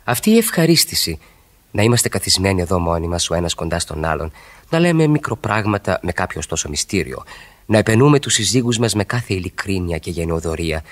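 A man's voice: low voice, very animated. An actor playing a witty, ironic married man who flirts by argument in a Greek radio play, with articulate, theatrical delivery. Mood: pleading, exasperated.

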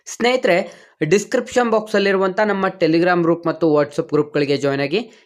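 A teacher hosts an online exam-prep lesson in a medium-pitched voice. The delivery is instructional and addressed straight to viewers. Key phrases get repeated in the manner of someone coaching students through a quiz. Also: steady tone, normal speed. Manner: repeats questions and answers, instructional.

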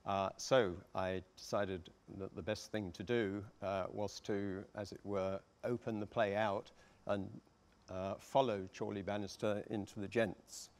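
Older man, low halting voice. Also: level tone. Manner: halting, deliberate, hesitant. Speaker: panellist, radio adapter. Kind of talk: explanatory panel talk. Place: theatre stage, seated panel. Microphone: lapel mic.

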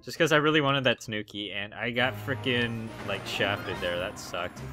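A hoarse young man giving goofy, excitable commentary over his gameplay, full of ums and likes. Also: on the low side, normal speed. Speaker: let's play gamer. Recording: game music behind voice.

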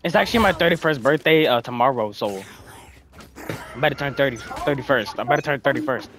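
A man's voice: mid-range voice, animated, a little fast, fairly bright tone, slight background noise. A man chatting casually on game voice chat, telling rambling, tongue-in-cheek stories. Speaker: gamer. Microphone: gaming voice chat.

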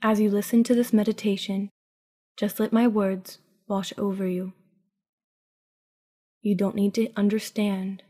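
A woman leads a guided meditation for sleep with a slightly low, mellow voice. Her intonation stays flat and even, and she speaks in a hushed, soothing way. She takes her time, leaving long pauses between scripted phrases.

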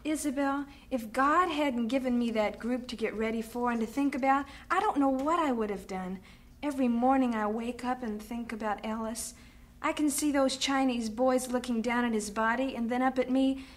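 An actress as a grieving young widow. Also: female voice, a little high, moderate pace, close to an everyday voice. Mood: resolute. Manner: quiet, grieving but composed. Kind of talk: radio drama acting.

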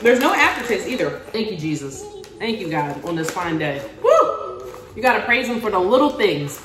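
A woman's voice: medium pitch, moderate pace, roomy sound. A woman in her twenties chatting animatedly to the camera in a casual day-in-the-life vlog, filmed in her home kitchen on a camera a few feet away. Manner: chatty and animated, talks to camera with hand gestures. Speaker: vlogger. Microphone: camera on a stand, a few feet away.

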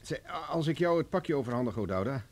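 An actor playing a defiant, sardonic private investigator held captive in a radio play. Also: male voice, mid-range voice, expressive, fast, darkish tone, natural voice. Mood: defiant, wry. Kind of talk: vintage Dutch radio play acting.

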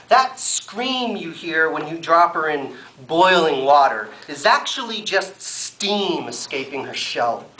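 A middle-aged man giving a live poetry reading with wry, deliberate phrasing, recorded in a room by a camera a few metres away. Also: on the high side, bright, forceful tone, slight background noise, low-quality recording. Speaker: poet reading at an event. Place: wood-furnished library room, at a lectern.

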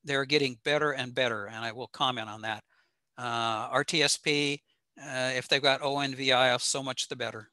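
A man's voice: medium pitch, steady tone, normal speed, sharp tone, no echo. A man presenting technical material informally with slides over a video call, in a plain-spoken, matter-of-fact manner. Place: indoor home room, over a video call.

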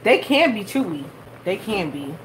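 A woman's voice: medium pitch, big pitch swings, normal speed, low-quality recording. A young woman hosting a live cooking stream from her home kitchen, chatting while she cooks and thanking viewers by name. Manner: chatty, reads viewer comments and thanks them. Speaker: cooking channel host. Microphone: phone camera held over the stove. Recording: phone audio with background noise.

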